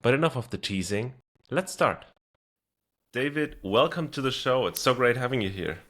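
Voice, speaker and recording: A man's voice: mid-range voice, animated, normal speed, a bit bright. A man hosting a podcast, opening the show and interviewing his guest in an upbeat, informal way. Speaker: podcast host.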